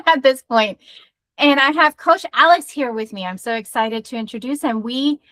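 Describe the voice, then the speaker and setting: A woman's voice: high voice, normal speed, fairly bright tone, no echo. A woman therapist hosting a podcast, talking in an upbeat, chatty way over a video call. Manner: upbeat, chatty, laughs easily. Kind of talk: casual interview chat.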